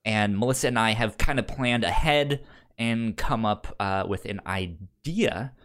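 A man co-hosting a podcast in casual conversation, speaking haltingly and often repeating or tripping over words. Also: fairly low pitch, a bit bright, clean tone. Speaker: podcast co-host. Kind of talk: casual chat.

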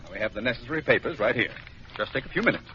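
A man's voice: medium pitch, lively intonation, rapid-fire, sharp tone. A man playing a polite, long-suffering bank clerk in an old radio comedy, with an American accent and a formal, hesitant manner. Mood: politely strained. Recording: very noisy, muffled old radio recording.

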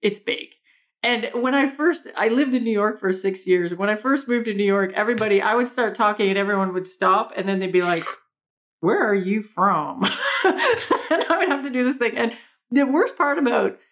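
Canadian woman, podcast guest; energetic storyteller who laughs easily. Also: on the high side, normal speed. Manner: energetic storyteller, laughs often, quotes people in voices. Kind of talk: podcast interview.

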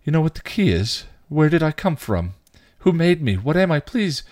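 Man voicing a distraught teenage girl, pitched way up. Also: big pitch swings, normal speed, a little mellow. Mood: pleading. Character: distraught, defiant teenage girl demanding answers.

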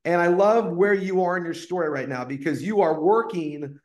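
A man's voice: sits high, normal speed. A man hosting a podcast interview, enthusiastic and full of praise for his guest. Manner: enthusiastic and effusive, repeats praise. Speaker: podcast host. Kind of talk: casual interview chat.

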